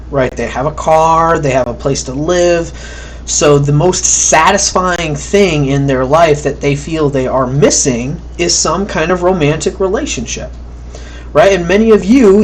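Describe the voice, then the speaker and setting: A man's voice: fairly high pitch, slight background noise. A man giving a casual, conversational recorded lecture, often checking in with "right?" and acting out quoted thoughts. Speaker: college instructor.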